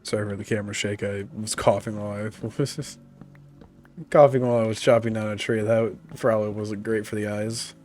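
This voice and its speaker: A man streaming a video game, talking casually in a relaxed, rambling way with many fillers, his voice congested from a cold. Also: lowish voice, brisk, faint music behind. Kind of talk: casual gaming commentary.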